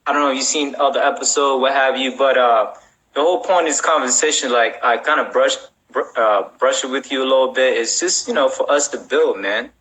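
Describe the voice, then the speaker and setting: A man's voice: mid-range voice, a little fast, a bit bright, low-quality recording. A man hosting a casual chat in a loose, slangy, rambling way with frequent check-ins. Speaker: host.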